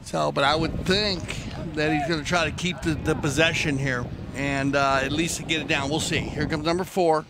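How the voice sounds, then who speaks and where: A man's voice: on the high side, steady tone, normal speed, few pauses, background noise. A man giving chatty, folksy color commentary on a live polo match.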